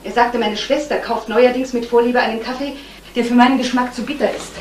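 An actress playing a composed suspect, roomy and distant. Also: female voice, on the high side, steady tone, brisk, close to an everyday voice. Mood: firm, controlled. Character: twice-divorced, self-possessed fiancée under suspicion. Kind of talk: 1970s German radio crime drama acting. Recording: roomy, distant, noisy old radio recording.

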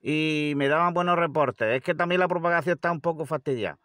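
High-pitched Spanish man, close dry sound. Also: brisk, fairly bright tone, dry recording. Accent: Spanish from Spain. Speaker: amateur radio operator. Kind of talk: casual ham radio contact. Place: inside a parked car.

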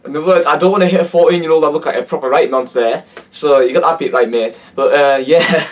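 A hoarse teenage young man with a Cumbrian accent, chatting and laughing in a casual reaction vlog from his bedroom. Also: on the high side, quick pace, recorded from a distance. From Cumbria, England. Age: teens. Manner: laughing, swearing, incredulous. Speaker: YouTuber. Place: bedroom, indoors. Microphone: webcam at desk.

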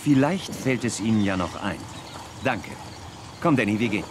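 An actor playing a suspicious, level-headed Wild West sheriff in a German children's audio drama, speaking in a measured, probing way. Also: male voice, fairly low pitch, lively intonation, roomy sound, noisy background, lightly acted. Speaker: sheriff and narrator.

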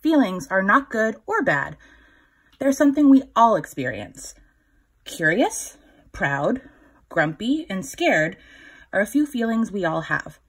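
A woman in her thirties reading a children's picture book aloud, instructive, pausing to put questions to young listeners. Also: medium pitch, big pitch swings, husky. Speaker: children's story time reader.

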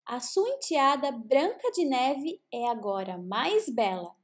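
Woman as a slow, solemn magic mirror. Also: high voice, very animated, exaggerated voice. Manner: grave, slow, drawn-out pronouncements.

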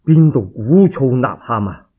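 Proud male war commander, played by a man storyteller, Cantonese, declamatory, animated, husky, for radio drama. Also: medium pitch, a little slow, phone-quality sound. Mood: suspicious. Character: proud, fiery, wounded male young army commander.

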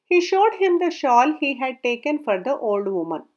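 A woman narrating a folk tale aloud in a measured storybook reading, her sentences falling at the ends. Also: sits high, sing-song, darkish tone.

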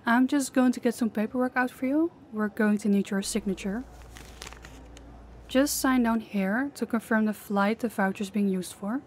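Woman acting as airline check-in clerk, slightly high voice, polite, businesslike, audio drama, faint ambient noise. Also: moderate pace, darkish tone, natural voice. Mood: polite, helpful.

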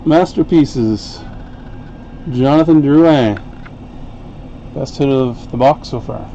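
A man hosting a live card break, calling out each card in an unhurried, chatty way. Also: mid-range voice, warm tone, lo-fi sound, a little hiss or hum. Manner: unhurried, chatty play-by-play while opening packs. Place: home card-break room, indoors.

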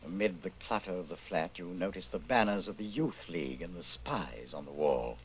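A man playing a grave, measured narrator in an old-time radio style drama, telling the story in the second person. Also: deep voice, animated, slight background noise. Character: grave narrator addressing the hero as you.

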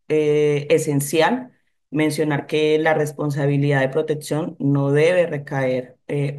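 A woman speaking as a panelist on a video call, giving formal remarks in a measured way with many hesitant fillers, picked up by a laptop mic. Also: deep voice, darkish tone. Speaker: NGO panelist.

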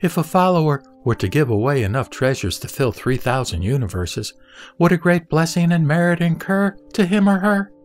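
A man playing the Buddha as a serene teacher, reciting scripture in a measured, didactic, emphatic way. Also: fairly high pitch, expressive, soft background music, lightly acted. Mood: calm, instructive. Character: the Buddha, serene male spiritual teacher instructing a disciple. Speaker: sutra audio drama reader.

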